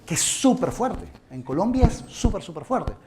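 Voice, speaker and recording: Seminar presenter, male, from Venezuela; slightly raised pitch, earnest advisory delivery over a noisy room recording. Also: very animated, normal speed. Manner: earnest, advising, addresses the audience directly. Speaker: conference presenter. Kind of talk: live seminar talk with audience questions.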